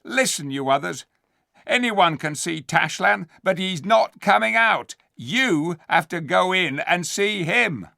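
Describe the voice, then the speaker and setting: A man's voice: high-pitched, lively intonation, slow, creaky, sharp tone, no echo, studio quality, voice pushed up, exaggerated voice. A man voicing a sly, bullying male ape who mocks and jeers at a crowd of animals. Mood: jeering. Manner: mocking, sneering.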